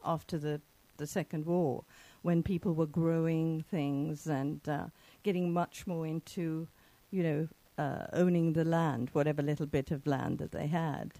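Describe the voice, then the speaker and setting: A woman's voice: deep voice, unhurried, mellow, no room sound, rough recording. A woman from England hosting an interview podcast, asking long rambling questions with many fillers. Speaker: podcast host. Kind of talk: casual interview.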